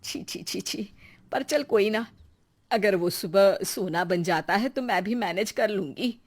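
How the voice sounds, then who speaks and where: A woman's voice: medium pitch, unhurried, pitched below the performer's own voice, close to an everyday voice. A woman voicing a greedy, envious rich neighbour in a moral story, exclamatory, nosy and scornful. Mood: disgusted. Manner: exclamatory, nosy, mock-admiring. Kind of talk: Hindi moral storybook acting.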